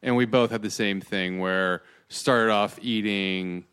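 A man with a fairly low, very smooth and clean voice co-hosts a podcast. He chats casually in a laid-back, joking way. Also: steady tone, takes it slowly, a bit bright. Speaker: podcast co-host. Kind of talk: casual chat.

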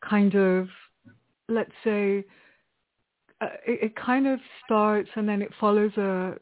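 Slow-talking woman poet on a phone line. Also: mid-range voice, fairly even intonation. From Greece. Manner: thoughtful, hesitant, laughs often between phrases. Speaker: guest poet. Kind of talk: conversational interview.

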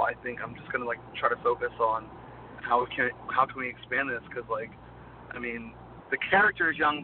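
A man in his thirties, a guest performer, chatting in a rambling way with a voice worn low from screaming. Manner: rambling, heavy use of "like". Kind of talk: casual interview chat. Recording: tinny phone-like line, faint noise.

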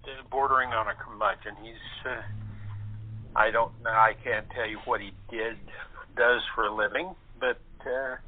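A man, an actor appearing as a guest, talking casually and haltingly in an interview. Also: medium pitch, fairly even intonation. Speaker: radio play actor as guest. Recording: muffled, narrow telephone line.

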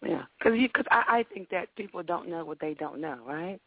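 Woman, raspy, gravelly, radio talk host, upbeat, repeats words, dry phone-like sound. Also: lowish voice, lively intonation, a little fast, no room sound. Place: radio studio.

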